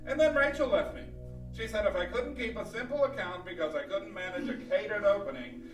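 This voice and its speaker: A high, melodramatic voice of a hapless businessman, acted by a man, lamenting in a radio ad skit. Music plays behind him in a roomy, distant stage recording. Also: animated, brisk, a bit bright. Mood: despairing. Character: despairing, hapless failed business employee.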